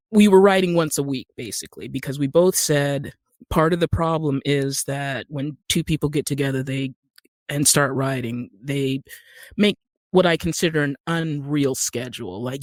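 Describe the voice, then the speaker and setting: A woman's voice: extremely low pitch, a little fast, a little mellow, no echo, clean studio sound. A middle-aged American woman telling stories casually as an interview guest, with wry, self-deprecating humour. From Utah, United States. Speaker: podcast guest, actor and writer.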